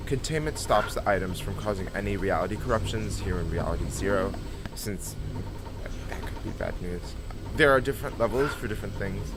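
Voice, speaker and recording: A man as a weary, low-voiced mentor. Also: expressive, brisk, background noise, natural voice. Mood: grumbling. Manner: dry, grumbling. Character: weary, exasperated male mentor of bickering trainees. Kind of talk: scripted audio drama acting.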